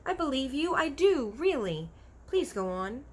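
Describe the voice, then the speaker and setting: Woman as young girl, children's storybook, curious, polite, eager questions, raised pitch, very animated intonation. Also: a little slow. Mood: apologetic, pleading.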